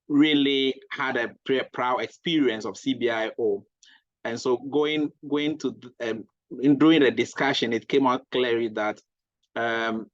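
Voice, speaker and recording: A man from Ghana, presenting for his group over a video call, polite and halting with many fillers. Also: medium pitch, no room sound, muffled recording. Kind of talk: online workshop presentation.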